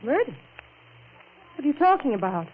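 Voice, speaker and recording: A woman's voice: sits high, big pitch swings, normal speed, phone-quality sound. Through a thin, faintly noisy 1940s radio recording comes a devoted lover in a horror melodrama. She is resolute and urgent, with a commanding, dramatic delivery. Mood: shocked. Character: devoted, resolute woman shielding her murderer lover.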